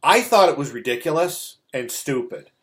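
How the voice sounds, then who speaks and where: A man's voice: medium pitch, lively intonation, normal speed. A middle-aged man hosting a casual movie review, ranting in a blunt, scornful, exasperated way. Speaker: host of a movie review show. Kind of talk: casual opinionated movie rant.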